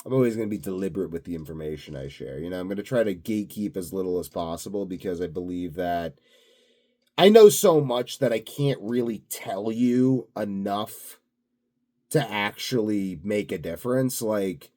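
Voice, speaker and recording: A man's voice: fairly low pitch, lively intonation, normal speed, mellow. A self-assured, blunt man rambling unscripted to his viewers in a grandiose solo monologue. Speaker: solo video host.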